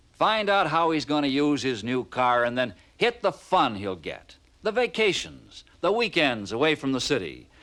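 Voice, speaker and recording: Very animated 1950s American male narrator. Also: fairly high pitch. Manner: persuasive, punchy, rhetorical questions. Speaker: narrator of a car sales training film. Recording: vintage 1950s film soundtrack.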